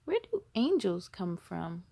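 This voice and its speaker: A woman voicing an eager, affectionate little boy in a storybook, exclaiming and asking questions excitably. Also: on the low side, measured pace, gravelly, a little hiss or hum, voice pushed down, heavily acted. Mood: curious.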